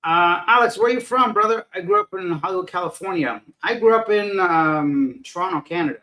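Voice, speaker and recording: A man's voice: sits high, lively intonation, a little fast, sharp tone, rough recording. Rambling male livestream host reading chat. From Afghanistan. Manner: rambling, loses his train of thought, self-deprecating. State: tired and unfocused. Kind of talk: casual live chat.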